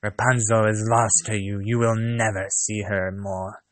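A man voicing a wicked old enchantress woman who scolds and mocks, raised a little above his own low, dry voice. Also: level tone, measured pace, no room sound, natural voice. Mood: mocking. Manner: mocking, scolding.

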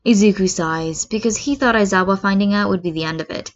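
A woman's voice: mid-range voice, fast talker. A woman narrating a scripted piece of fan fiction as a reader. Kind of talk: scripted story narration with acted dialogue. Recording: dull lo-fi recording.

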